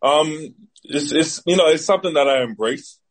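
A man's voice: a little high, normal speed, low-quality recording. A man in his twenties from the Bahamas with a Bahamian accent, an athlete giving casual interview answers as a guest. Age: 20s. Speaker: interview guest, football player.

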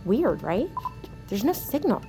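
A woman acting in an audio drama as an anxious, sardonic young kitchen worker, excitable and dryly joking. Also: medium pitch, unhurried, low-quality recording. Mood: uneasy, wry. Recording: music behind.

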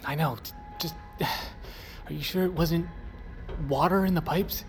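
A man's voice: fairly high pitch, unhurried, faint music behind. An actor in an audio drama playing a reluctant, sarcastic crewman with a complaining, hesitant manner. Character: reluctant, grumbling, sarcastic male crewman, bad knee.

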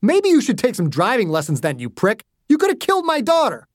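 A narrator voicing an angry, protective father with a hot temper, shouting and cursing in an audiobook story. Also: medium pitch, huge pitch swings, a little fast, no room sound, clean studio sound, pitched above the performer's own voice. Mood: enraged.

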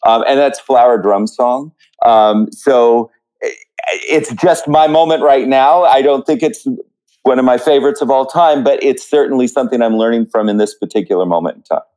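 A man with a medium-pitched voice talks as an interview guest. He is casual and reflective, working out his thoughts aloud with halting phrasing. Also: mellow, rough recording. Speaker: podcast guest, writer.

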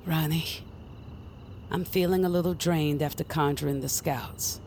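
A steady witch mentor in an audio drama. She speaks slowly in a fairly low voice, earnest and protective, over clear background ambience. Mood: guiding, grave. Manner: earnest, deliberate. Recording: noticeable ambient noise behind voice.

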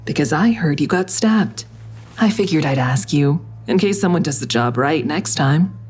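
A woman's voice: sits low, animated, moderate pace, a little mellow, husky. A woman playing a grim, confrontational investigator in an audio drama, questioning in a terse, dry, needling way. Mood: cold, dry. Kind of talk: audio drama acting with narration.

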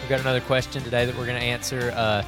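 Man, podcast host, fast easygoing talker, faint music under him. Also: mid-range voice, little pitch movement. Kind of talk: casual podcast chat.

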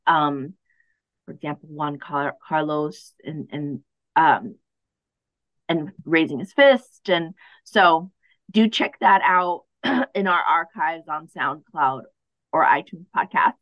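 A woman's voice: sits low, takes it slowly, dry recording, lo-fi sound. A woman co-hosting a community radio show, speaking casually with many ums and restarts.

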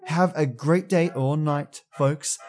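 A man's voice: on the high side, slow, a little mellow. A man hosting a podcast, speaking to listeners in a chatty, friendly way. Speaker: podcast host. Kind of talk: scripted podcast outro. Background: music.

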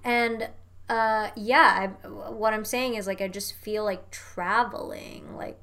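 A young adult woman, raised in Indonesia, talks in a loose, animated way. Her phrases are strung together with "like" and "you know what I mean". She swears now and then without fuss. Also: medium pitch, slow pace. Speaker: guest. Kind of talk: casual chat.

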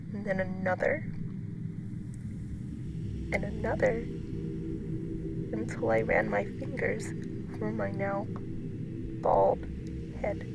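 An actress playing a shaken woman telling a harrowing personal story as a dramatic, halting monologue. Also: medium pitch, vocal fry. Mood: haunted, hushed. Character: shaken woman recounting losing all her hair at a hotel. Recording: noisy recording with ambient sound.

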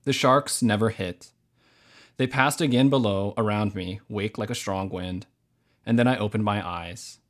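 A man speaking as a novelist guest in a measured, thoughtful way. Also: fairly low pitch. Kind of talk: literary reading and thoughtful interview answers.

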